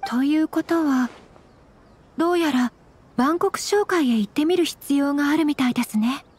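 A woman voicing a gentle, refined young noblewoman with very polite, measured speech in an anime game. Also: high-pitched, a little hiss or hum, close to an everyday voice. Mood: composed, thoughtful. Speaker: game voice actor. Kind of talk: scripted anime game dialogue. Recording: studio voice over game music.

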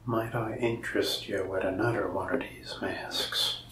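A young man in a soft-spoken ASMR roleplay as a shop salesman, whispering and rambling in a folksy storytelling way. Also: fairly low pitch, fairly even intonation, unhurried, echoey room, recorded from a distance, a little hiss or hum.

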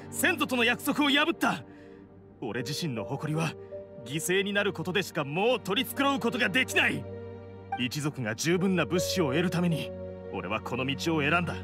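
Stern young oni warrior, anime game, highish male voice, curt n intense, music behind. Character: stern, proud young blue oni man, self-sacrificing, desperate. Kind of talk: Japanese anime game drama acting. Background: dramatic game music.

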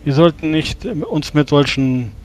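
A man playing a tabletop role-playing game in character, speaking in measured, formal words with hesitant pauses. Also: fairly high pitch, lively intonation, a little mellow, slight background noise.

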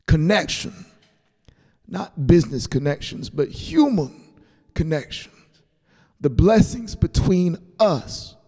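A high, gravelly old grandfather performed by a man reading a storybook speaks in a big ringing reverb. He is sentimental and grateful, with a slow, halting, tender delivery. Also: very animated, heavily acted. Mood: heartfelt. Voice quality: creaky. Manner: halting, tender, soft-spoken. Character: elderly grandfather giving a sentimental, grateful retirement speech. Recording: long hall reverb on the voice.

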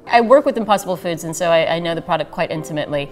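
A woman's voice: lowish voice, animated, very fast, soft background music. A middle-aged woman, a chef, speaking thoughtfully in a documentary interview in a living room.

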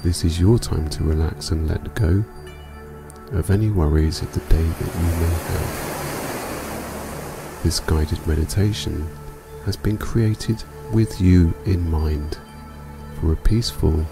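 A man narrating a scripted guided meditation for sleep in a calm, soothing, unhurried manner. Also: sits low, gravelly. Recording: music bed behind voice. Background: music.

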